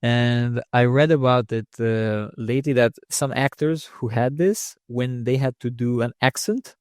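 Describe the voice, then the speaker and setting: A man's voice: medium pitch, measured pace, a little mellow, dry recording, studio quality. A young man speaking English as a second language with an accent, talking casually as a guest oncologist, often restarting and repeating words. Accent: non-native English. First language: not English. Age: young adult. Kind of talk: casual interview conversation.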